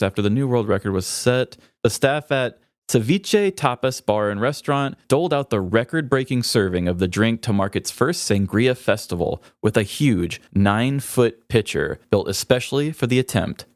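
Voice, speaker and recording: A man's voice: medium pitch, lively intonation, normal speed, dry recording, studio quality. A man co-hosting a podcast, chatting casually and reading out bits of trivia. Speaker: podcast co-host.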